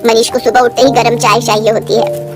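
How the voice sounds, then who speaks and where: A woman's voice: on the high side, little pitch movement, fairly quick, pitched below the performer's own voice, natural voice. Bossy middle-aged mother-in-law, acted by a woman narrator; scornful, sarcastic, melodramatic storybook delivery over background music. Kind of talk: acted dialogue in a moral story. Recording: music behind the voice.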